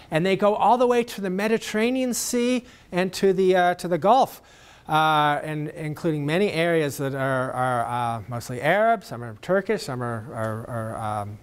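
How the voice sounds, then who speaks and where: A man giving a public talk answers audience questions in a conversational way, with many fillers and restarts. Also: fairly high pitch. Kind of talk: lecture Q&A.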